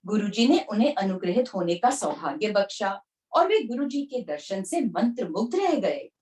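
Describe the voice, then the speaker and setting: A woman's voice: mid-range voice, darkish tone. A woman reading a devotional memoir aloud, recorded at some distance from the microphone. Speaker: book reader. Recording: distant, far from the mic.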